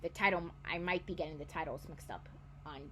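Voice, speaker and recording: A woman's voice: deep voice, a little fast, fairly bright tone, a little hiss or hum. A woman in her twenties chatting casually about books she wants to reread, rambling and often correcting herself. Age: 20s. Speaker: book vlogger. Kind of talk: casual chat.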